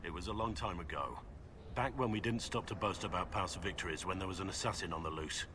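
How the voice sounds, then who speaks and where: A man playing a gruff, guarded veteran warrior in a video game, curt, dry and plain-spoken. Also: deep voice, fast talker, noisy background, natural voice. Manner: curt, dry, matter-of-fact. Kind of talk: video game dialogue acting.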